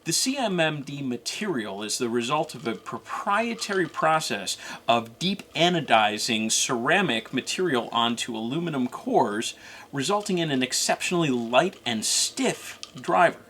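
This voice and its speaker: A man's voice: mid-range voice, sing-song, moderate pace, bright, forceful tone, a little hiss or hum. A man narrating a scripted, informational product review as co-host.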